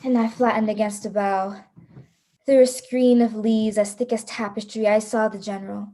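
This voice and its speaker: A woman's voice: medium pitch, little pitch movement, normal speed, dark tone, close to an everyday voice. A woman playing a frightened but resolute hunted heroine, narrating a radio play in a dramatic, suspenseful way.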